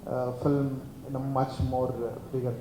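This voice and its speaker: A man's voice: mid-range voice, level tone, measured pace. A male journalist, asking a long, hesitant, rambling question at a press meet. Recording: echoey hall with faint noise.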